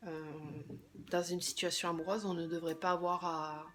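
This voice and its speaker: A slightly hoarse woman doing a tarot reading, thinking aloud hesitantly and often trailing off into a quieter voice. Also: fairly low pitch, flat delivery, normal speed. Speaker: tarot card reader.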